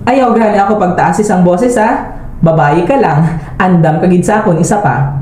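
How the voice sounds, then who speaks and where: A woman's voice: on the low side, moderate pace, a little hiss or hum, pitched above the performer's own voice, natural voice. Woman acting surly boyfriend, echoey, Western Visayan. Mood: angry, threatening. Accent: Western Visayan Filipino. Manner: dismissive and snappish. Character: lazy, surly, domineering young boyfriend. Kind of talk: amateur radio drama acting. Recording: roomy echo, loud backing music.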